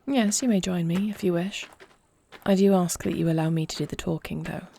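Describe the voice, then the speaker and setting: A woman playing an earnest young princess and war leader in an audio roleplay, speaking formally in solemn, measured phrases. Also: lowish voice, steady tone, creaky, dark tone, slight background noise. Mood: composed, commanding. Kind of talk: scripted audio roleplay acting.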